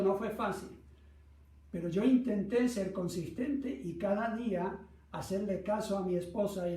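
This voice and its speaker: A man's voice: high voice, mellow. A middle-aged man with a Latin American Spanish accent preaching through personal stories in an earnest, self-deprecating manner. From Argentina, raised by North American parents. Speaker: pastor. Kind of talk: sermon with personal anecdote. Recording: roomy echo, distant microphone.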